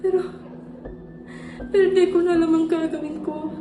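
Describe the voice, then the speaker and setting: A young woman playing a halting, despairing student. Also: very high-pitched, level tone. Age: young adult. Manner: halting, repeats her words, trails off. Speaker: student actor. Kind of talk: stage monologue. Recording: echoey room, distant mic, music.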